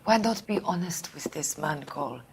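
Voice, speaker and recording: A woman's voice: sits low, expressive, normal speed, a little hiss or hum. An actress playing a proud, wary, grieving woman in a 1940s crime drama game, restrained and questioning. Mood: wary, challenging. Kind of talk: dramatic video game acting.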